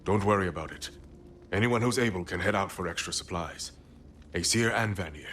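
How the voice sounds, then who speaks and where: An actor playing a calm, blunt leader of a forest people in a game. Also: male voice, low voice, a little fast, a little hiss or hum.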